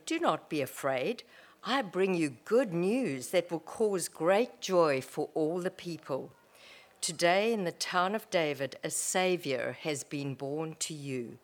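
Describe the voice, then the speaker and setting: A woman reads a Bible passage to the congregation. Her voice is low and her pace slow and careful, every phrase measured. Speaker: scripture reader. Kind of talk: scripture reading.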